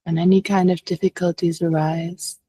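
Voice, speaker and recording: A woman leading a guided meditation as a teacher, speaking gently and unhurriedly with long pauses. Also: fairly low pitch, level tone, breathy edge, dry recording.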